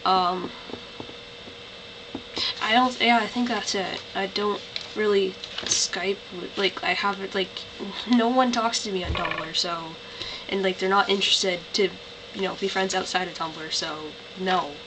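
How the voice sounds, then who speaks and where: A woman's voice: medium pitch, a little slow. A tired young woman with a Canadian accent, rambling through an accent-tag vlog with lots of "like" and "um". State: tired, voice lower than usual. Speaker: vlogger. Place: bedroom. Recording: noisy home webcam recording.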